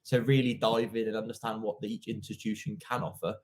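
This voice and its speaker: A man's voice: fairly low pitch, little pitch movement, fast, a little mellow. A young man hosting an online interview in a polite, formal, effusive way. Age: young adult. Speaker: interviewer, host. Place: indoor office, video call.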